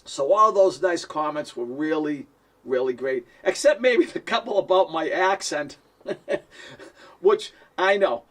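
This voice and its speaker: A man with a heavy Boston accent talks to camera about his music in an easy, rambling way. He pokes fun at himself and laughs lightly as he goes. His voice sits a little high and sounds a few steps back from the microphone. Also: lively intonation, slow pace, fairly bright tone, distant mic. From Boston, USA. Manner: chatty and self-deprecating, chuckles often. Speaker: musician and producer. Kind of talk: casual direct-to-camera talk.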